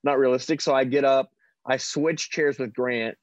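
A man co-hosting a podcast, telling casual stories in a loud, piercing voice with a joking, self-deprecating manner. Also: medium pitch, fairly even intonation, normal speed, no echo, highs cut off. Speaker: podcast co-host. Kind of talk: casual banter and storytelling. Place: online video call.

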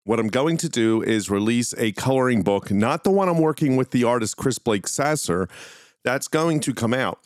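A man's voice: mid-range voice, lively intonation, normal speed, dry recording, studio quality. A man hosting a solo podcast, talking casually and informally in a meandering way. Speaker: podcast host.

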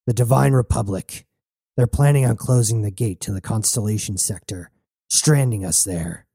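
A man voicing a serious, uncanny crew leader who speaks in a slow, measured way in an audiobook. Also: fairly low pitch, vocal fry, dark tone, slightly rough, dry recording, clean studio sound. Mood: serious, urgent.

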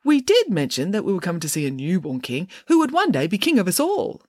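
A woman's voice: lowish voice, huge pitch swings, fast, darkish tone, no echo, studio quality, pitched above the performer's own voice. A woman voicing a polite, naive, slightly pompous foreign king in a storybook, with a formal, guileless manner. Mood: chatty, oblivious.

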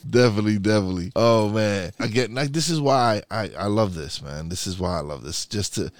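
A man's voice: on the low side, animated, a little fast, dry recording, clean studio sound. A man from Brooklyn hosting a podcast, chatting casually, agreeable, laughing often and full of fillers. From Brooklyn, New York. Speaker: podcast host. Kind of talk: casual conversation.

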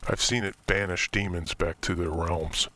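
An actor playing a brooding, theatrical occult collector, narrating a scripted horror tale in a measured way with dramatic pauses. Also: male voice, deep voice, fairly even intonation, bright, forceful tone. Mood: grave, mysterious. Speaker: narrator and main character of horror fiction podcast. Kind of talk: scripted first-person horror storytelling.

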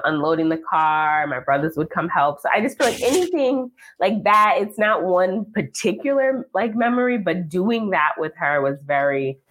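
A woman's voice: on the low side, a bit bright, low-quality recording. A woman in her thirties from the United States, chatting casually as a podcast guest and laughing often. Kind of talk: casual interview chat.

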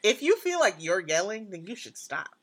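A blunt, loud woman, co-hosting a casual podcast. Her voice is bright and sharp, and her pitch leaps up and down as she makes her point. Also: very animated, normal speed. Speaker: podcast co-host.

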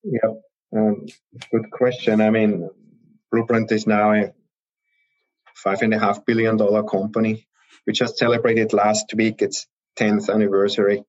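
A low-pitched man with an Austrian accent talks through his answers in an interview, pausing on ums and restarting his sentences. The sound is a bit dull and lo-fi. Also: level tone, darkish tone. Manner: hesitant, many ums and restarts. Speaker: guest, biotech investor.

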